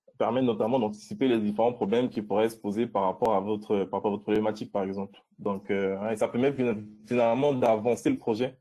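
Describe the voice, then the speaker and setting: A man's voice: fairly low pitch, level tone, fast talker, mellow. A man co-presenting at an online meetup, explaining informally and somewhat hesitantly, often repeating himself. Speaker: co-presenter answering questions. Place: video call.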